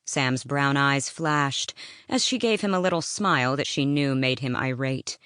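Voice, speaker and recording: A woman reads fiction as an audiobook narrator. Her voice is low, and she tells the story with drama. The recording is dry, with very little room sound. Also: a little slow, fairly bright tone, smooth, dry recording.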